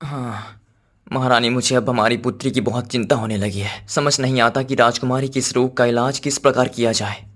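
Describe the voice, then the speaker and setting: A man's voice: medium pitch, steady tone, normal speed, natural voice. A man voicing a devoted, earnest king in a cartoon, with formal, regal speech. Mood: earnest, concerned. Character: devoted, earnest king, husband and worried father.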